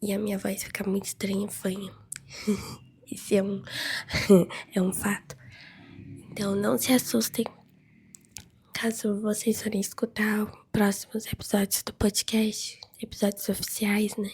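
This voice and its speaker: A Brazilian woman hosting a podcast, chatting casually and giggling as if sending a voice message, hoarse and nasal from a flu so her voice keeps dropping to a whisper. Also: medium pitch, flat delivery, unhurried, pauses often, a little mellow. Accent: Brazilian Portuguese. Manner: chatty, giggly, talks straight to listeners. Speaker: podcast host.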